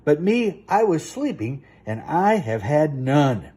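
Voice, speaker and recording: A man's voice: on the high side, sing-song, slow pace, mellow, slightly rough, heavily acted. A man voicing a big storybook bear who complains about being left out, in a whiny way. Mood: sulky. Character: big sulky bear woken from sleep.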